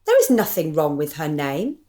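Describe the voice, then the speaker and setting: An actress playing a sceptical member of an amateur club, forthright and argumentative, in a radio comedy drama. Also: female voice, low-pitched, very animated, normal speed, dark tone. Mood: indignant.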